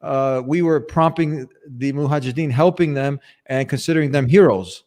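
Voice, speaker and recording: A man's voice: mid-range voice, normal speed, a little mellow. A man hosting an interview show in a casual, conversational way, informally rephrasing his questions as he goes.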